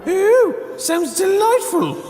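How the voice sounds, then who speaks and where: A woman's voice: very high voice, big pitch swings, slow pace, darkish tone, exaggerated voice. A woman voicing a polite, playful, girlish dragonborn adventurer in improvised tabletop roleplay, dainty in manner. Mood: polite delight. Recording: echoey hall, music underneath.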